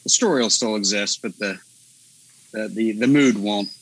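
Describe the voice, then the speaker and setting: A man co-hosting a podcast, thinking aloud in a relaxed, meandering way with many fillers. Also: lowish voice, normal speed, breathy edge, poor audio. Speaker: podcast co-host. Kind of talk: casual conversation.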